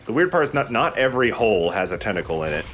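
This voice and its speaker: Low, lively male gaming commentator. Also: racing. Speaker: let's play host. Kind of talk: casual joking game commentary. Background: game music.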